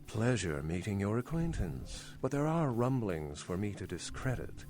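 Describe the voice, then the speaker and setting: An actor playing an urbane, worldly old vampire scholar in a video game, speaking in a formal, wry, articulate way. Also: male voice, lowish voice, very animated, normal speed, darkish tone, faint music behind. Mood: cool, courteous. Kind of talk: video game character dialogue.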